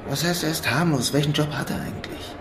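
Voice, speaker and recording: An actor playing a cocky would-be art thief in a German radio crime drama, with a creaky voice and dry, sarcastic delivery. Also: male voice, fairly high pitch, fast talker, crowd noise, close to an everyday voice. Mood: sceptical.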